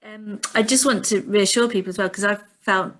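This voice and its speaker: A woman's voice: medium pitch, steady tone, fairly quick, a bit bright. A woman hosting a webinar on a video call, encouraging her guests and speaking with many fillers. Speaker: webinar host.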